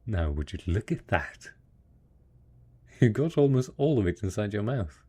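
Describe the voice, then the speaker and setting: A man plays a devoted husband in an intimate post-apocalyptic audio roleplay. His voice is low, soft and husky, with a dark, relaxed tone. He speaks very slowly and a little hesitantly, patiently coaxing his listener as one would a child. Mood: encouraging, fond. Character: devoted, patient husband tending zombie spouse after apocalypse.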